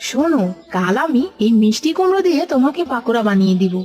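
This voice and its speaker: Lady doing eager cartoon village wife, really high voice, emphatic and scheming, bit of music under it. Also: big pitch swings, fast, a little mellow. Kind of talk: dramatized cartoon dialogue. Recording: music bed behind the voice.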